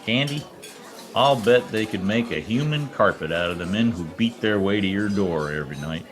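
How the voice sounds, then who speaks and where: A man's voice: lowish voice, expressive, moderate pace, lightly acted. A man playing a wry, wisecracking private detective who poses as a lonely oilman, in old radio drama style. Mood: flirtatious, joking. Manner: wry, quipping. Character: wisecracking male private eye posing as lonely Oklahoma oilman. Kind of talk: 1940s radio detective acting, first-person narration. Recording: remote video-call recording with music.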